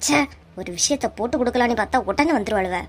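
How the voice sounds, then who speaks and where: A woman's voice: on the high side, fast, lightly acted. A woman with a Kanyakumari Tamil accent voicing a jealous, scheming village gossip in a comic cartoon, muttering scornfully. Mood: irritated, scheming.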